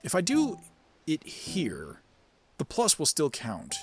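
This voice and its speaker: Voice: medium pitch, huge pitch swings, slow, faint music behind. A gaming video creator giving casual gameplay commentary, thinking aloud in a self-critical way. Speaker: gaming video creator doing character voices.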